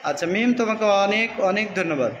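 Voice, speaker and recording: A woman's voice: mid-range voice, lively intonation, fast. A woman with a Bangladeshi accent teaching a class interactively, encouraging pupils and prompting them with short questions. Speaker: school teacher. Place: indoor school classroom. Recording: echoey, noisy classroom recording.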